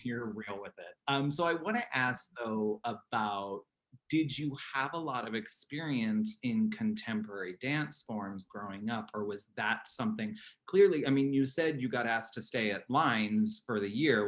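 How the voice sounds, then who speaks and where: A man's voice: on the low side, fairly bright tone. A man hosting a casual interview as an artistic director, chatty and playful, laughing as he asks questions.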